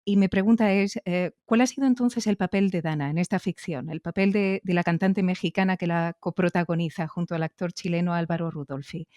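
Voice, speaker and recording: A woman with an accent from Spain, interviewing conversationally and laying out her questions deliberately and inquisitively. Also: fairly low pitch, fairly even intonation, darkish tone, no room sound. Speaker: podcast interviewer.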